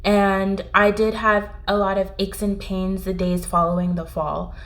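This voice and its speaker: A woman's voice: mid-range voice, little pitch movement, a little slow, fairly bright tone. A woman vlogger narrating a storytime in a conversational, reflective way.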